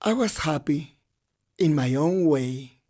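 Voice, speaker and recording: A man voicing a gentle older man who recounts his life in a sincere, reflective translation voice-over. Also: on the high side, expressive, takes it slowly. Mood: gentle, reassuring. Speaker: voice actor dubbing an interviewee.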